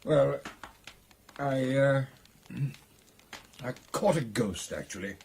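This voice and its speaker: An actor with a British accent playing a genial, earnest gentleman clubman in a radio drama, speaking in old-fashioned upper-class phrases. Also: mid-range voice, expressive, slow, vocal fry, slight background noise. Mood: guarded. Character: genial, earnest English gentleman clubman telling a ghost tale.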